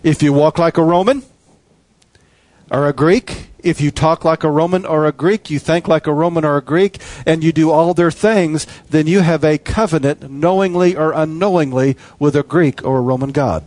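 A man's voice: on the high side, normal speed, darkish tone, no echo. A man preaching a sermon with an emphatic, repetitive cadence.

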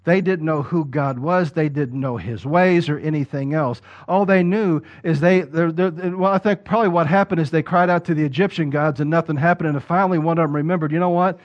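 A man preaching a sermon, building from steady talk to loud, emphatic peaks. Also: a little high, fairly quick, few pauses. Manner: rises to loud emphatic preaching peaks, acts out quoted voices. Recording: dull lo-fi sound.

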